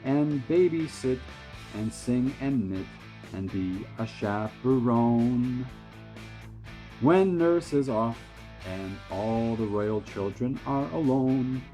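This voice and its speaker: A man's voice: mid-range voice, takes it slowly, mellow, faint music behind, pitched above the performer's own voice, lightly acted. A man voicing an eager, cheerful small boy who reads out rhyming verse in a sing-song way.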